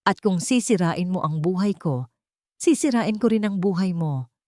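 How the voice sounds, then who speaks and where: A woman's voice: on the low side, animated, moderate pace, darkish tone, dry recording, studio quality, close to an everyday voice. A woman voicing a scheming, deceitful wife in an audio drama story, pleading and defiant. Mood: threatening. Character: scheming deceitful wife, desperate, defensive, turning threatening.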